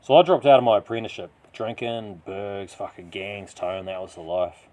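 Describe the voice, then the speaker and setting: A man acts out a rough-living New Zealand taxi driver with a dark past in a crime story. He talks in slangy, confiding rambles and insists on being heard. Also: lowish voice, moderate pace, close to an everyday voice. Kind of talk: character dialogue in audio story.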